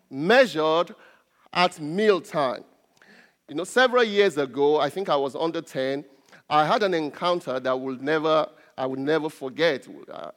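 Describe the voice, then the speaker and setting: A man with a Nigerian accent, a native Yoruba speaker, preaches a sermon as a pastor in a deliberate way, repeating key phrases. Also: a little high. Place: large reverberant indoor church space. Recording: reverberant hall.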